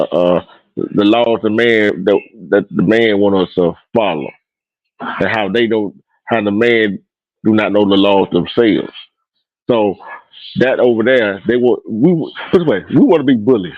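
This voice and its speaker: Halting, preachy male host, dry lo-fi sound. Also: lowish voice, no room sound. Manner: halting, many fillers and restarts, preachy. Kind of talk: casual podcast talk. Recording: dull, dry lo-fi audio.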